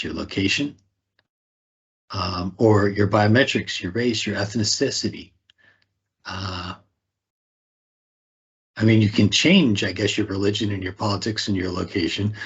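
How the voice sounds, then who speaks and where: A man's voice: on the low side, husky, highs cut off. A man leading a talk on privacy, posing rhetorical questions and often tagging them with "right?". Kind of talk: conversational presentation.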